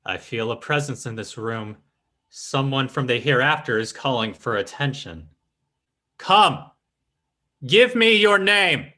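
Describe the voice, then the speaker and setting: A man playing a commanding spiritualist medium in an old-time radio drama, theatrical and deliberate. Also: mid-range voice, lively intonation, pushed and bright. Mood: solemn, summoning. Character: fake spiritualist medium leading a seance, solemn and commanding.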